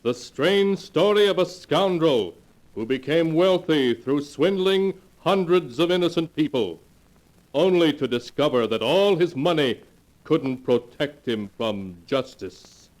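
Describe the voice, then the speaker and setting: A man narrating a scripted 1940s radio horror show in a solemn, theatrical, measured style. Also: on the high side, lively intonation. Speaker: announcer and narrator. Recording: noisy old 1940s radio recording.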